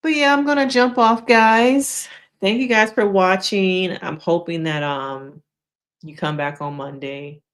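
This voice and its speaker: A woman's voice: medium pitch, a little slow. A woman hosting a casual live stream, chatting frankly with viewers, laughing often, and losing her voice.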